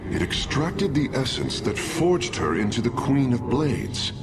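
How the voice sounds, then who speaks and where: Earnest alien scientist, animated intonation. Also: male voice, mid-range voice, unhurried. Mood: earnest, hopeful. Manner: formal, expository, earnest. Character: earnest, hopeful alien scientist-engineer, eager and scholarly. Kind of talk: dramatic sci-fi game acting. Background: loud music.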